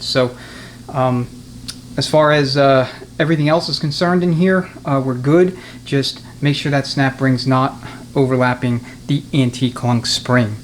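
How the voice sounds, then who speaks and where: A man with a mid-to-low voice walks through a hands-on repair job like a shop instructor. He is methodical and plain-spoken, laying out each step as he works. The room rings with echo, and there is clear noise behind him. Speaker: transmission mechanic giving a how-to demonstration. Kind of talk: hands-on workshop tutorial, unscripted explanation. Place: indoors at a transmission workbench.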